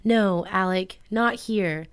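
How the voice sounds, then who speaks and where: A woman's voice: mid-range voice, takes it slowly, smooth, no room sound, natural voice. A woman playing a sensible, restrained woman resisting love in an amateur radio play, read plainly from a script. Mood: firm, restrained.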